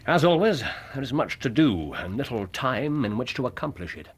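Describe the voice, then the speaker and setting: A man's voice: mid-range voice, very animated, normal speed, husky. A male actor playing a self-assured Victorian detective with a clipped British accent in a radio drama. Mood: brisk, businesslike. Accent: British English. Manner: clipped, formal, authoritative.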